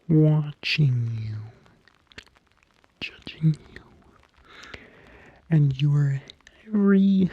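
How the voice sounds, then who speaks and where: Man voicing a very slow, breathy, creepy barber. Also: fairly high pitch, muffled recording, full character voice. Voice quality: soft, breathy, half-whispered. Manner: halting, drawn-out, murmuring with hesitant pauses. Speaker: ASMR performer. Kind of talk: whispered ASMR roleplay.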